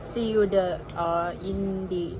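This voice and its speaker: A woman with a Thai accent speaking simple, halting non-native English as she presents a casual food vlog. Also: fairly low pitch, steady tone, music in the background. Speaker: food vlogger.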